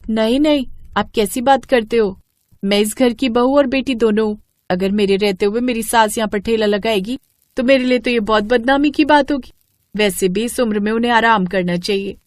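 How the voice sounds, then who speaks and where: A woman's voice: a little high, normal speed, dry recording, lightly acted. A woman voicing a young, dutiful, hardworking daughter-in-law who sells street food in a moral story. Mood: modest, earnest.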